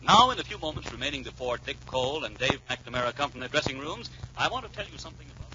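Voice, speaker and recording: Man playing 1940s radio fight announcer, rapid delivery, formal and declamatory, noisy vintage recording. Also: medium pitch, animated, highs cut off, close to an everyday voice. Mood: brisk, eager. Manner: formal, declamatory, punchy phrasing. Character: excited male radio announcer at a boxing match. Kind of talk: 1940s radio drama sports commentary.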